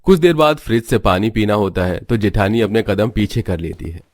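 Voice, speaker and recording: Man, cartoon story narrator, low voice, steady scripted delivery. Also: brisk, dry recording, clean studio sound.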